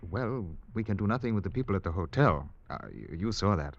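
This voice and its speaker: An actor playing a kind, steady man in an old radio drama, reassuring and a little hesitant. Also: fairly low pitch, a little fast. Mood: gentle. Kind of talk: 1940s-50s radio drama acting. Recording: dull old radio recording.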